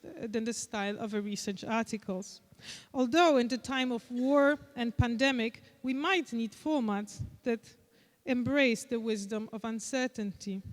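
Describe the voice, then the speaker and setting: A woman with a Polish accent, a conference speaker, reading a prepared lecture in a measured, deliberate way. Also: a little high, big-room sound. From Poland. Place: large conference hall.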